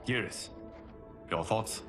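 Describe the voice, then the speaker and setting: An actor playing a solemn god who protects a realm, speaking formally and with measure in a cinematic fantasy game. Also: male voice, takes it slowly, fairly bright tone, faint music behind. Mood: grave.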